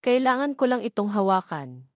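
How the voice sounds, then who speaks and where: A woman's voice: mid-range voice, big pitch swings, moderate pace, no echo, clean studio sound, close to an everyday voice. A woman voicing a cold, commanding schemer who gives terse, imperious orders in an audio drama. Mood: calculating.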